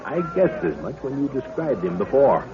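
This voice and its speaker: An actor playing a brisk, commanding middle-aged expedition leader and father in 1930s radio adventure style. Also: mid-range voice, sing-song, crowd noise, natural voice. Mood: brisk, pondering. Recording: dull, noisy 1930s radio recording.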